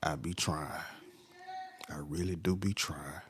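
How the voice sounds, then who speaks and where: Laid-back deep-voiced man chatting late at night. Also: fairly even intonation, takes it slowly, a crowd in the background. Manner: laid-back, talks to viewers by name, trails off. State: stuffy nose. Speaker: livestream host. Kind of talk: casual late-night livestream chat.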